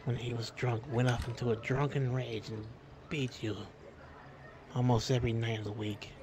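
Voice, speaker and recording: A man's voice: mid-range voice, little pitch movement, lightly acted. Man playing a menacing, wounded alter ego, noisy audio. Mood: bitter, intense. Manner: intense, bitter, deliberate, with dramatic pauses. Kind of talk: improvised dramatic roleplay in a zombie survival game. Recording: clearly noisy background.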